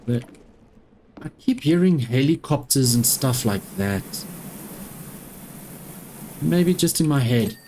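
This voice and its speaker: Slow-talking Afrikaans-speaking man gaming. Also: medium pitch, a little hiss or hum. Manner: relaxed, talking to himself while playing. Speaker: gaming YouTuber. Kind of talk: casual gameplay commentary.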